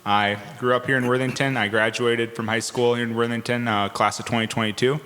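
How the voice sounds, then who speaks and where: A man's voice: mid-range voice, little pitch movement, a little fast, pushed and bright, slight background noise. A young man, easygoing and upbeat, introducing himself at a community workshop. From Minnesota, US. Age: 20s. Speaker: workshop participant. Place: community hall, live event. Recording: long hall reverb.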